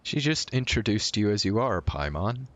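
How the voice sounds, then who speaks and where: An actor playing a laconic young traveling adventurer in an anime video game, brief and matter-of-fact. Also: male voice, lowish voice, very animated, moderate pace, a bit bright. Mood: wry, calm. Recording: faint music behind the voice.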